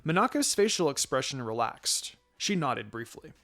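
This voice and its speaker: A man's voice: medium pitch, big pitch swings, moderate pace, a bit bright. Man reading a story aloud. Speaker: fan fiction reader. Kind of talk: scripted storytelling narration.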